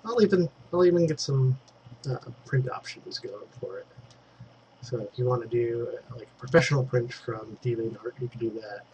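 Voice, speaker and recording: A man's voice: mid-range voice, moderate pace, darkish tone, lo-fi sound, a little hiss or hum. A man with a congested throat giving a casual digital painting tutorial, thinking aloud as he works and dropping in fillers. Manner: chatty, thinks aloud while working, trailing fillers.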